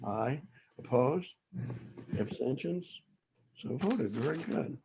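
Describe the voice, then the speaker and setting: A hoarse man chairing a town board meeting, speaking haltingly with many pauses and restarts. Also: medium pitch, lively intonation, warm tone, a little hiss or hum, low-quality recording.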